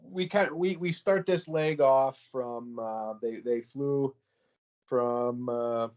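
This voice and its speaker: A man's voice: mid-range voice, takes it slowly, darkish tone, muffled recording. A man hosting a casual reality-show review podcast, talking in a rambling way with many fillers and easy laughs. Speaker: podcast host. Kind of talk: casual chat.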